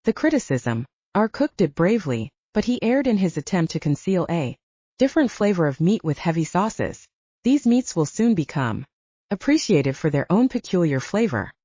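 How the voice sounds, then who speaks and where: A woman's voice: fairly low pitch, sing-song, normal speed, warm tone, dry recording. A woman voicing a grandiose, effusive male scientist and gourmet in an audiobook, with a pompous, oratorical manner. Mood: pompous, enthusiastic. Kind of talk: audiobook character voice. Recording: dull, muffled dry audio.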